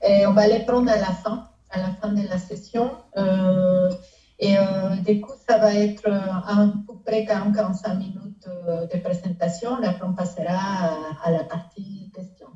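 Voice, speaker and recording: A woman's voice: mid-range voice, flat delivery, normal speed, dark tone, roomy sound, far from the mic, lo-fi sound. Woman with a Mexican accent, hesitant. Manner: hesitant, many fillers, light laughs. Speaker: conference facilitator. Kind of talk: event introduction.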